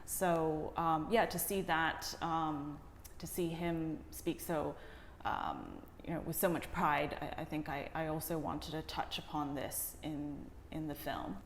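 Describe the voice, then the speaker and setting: A woman giving reflective interview answers as a documentary director, in halting, hesitant phrases. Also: low-pitched, steady tone. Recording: echoey reverberant room with faint noise.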